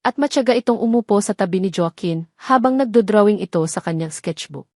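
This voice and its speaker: A Filipino woman narrates a romantic drama in a measured, theatrical storytelling style. Her voice sits at a medium pitch. The recording is close and very dry, with no room sound. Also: expressive, darkish tone, no echo.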